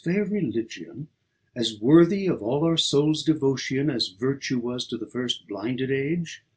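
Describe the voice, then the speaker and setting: A man recites verse for an audiobook at a slow, deliberate pace. His voice is husky and swings widely in pitch as he declaims, leaning firmly on each phrase. The delivery is dramatic and measured. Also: low-quality recording. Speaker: audiobook reader.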